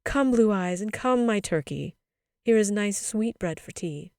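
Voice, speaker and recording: A woman voicing a tender, sorrowful mother of small children in a storybook, gentle, affectionate and earnest. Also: medium pitch, expressive, a little slow, no room sound, clean studio sound, pitched above the performer's own voice. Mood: affectionate, concerned.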